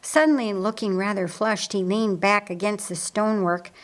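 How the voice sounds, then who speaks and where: A woman reading historical fiction as an audiobook narrator, in a deliberate, dramatic storytelling manner. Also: mid-range voice, steady tone. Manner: slow, deliberate, dramatic reading with acted character voices.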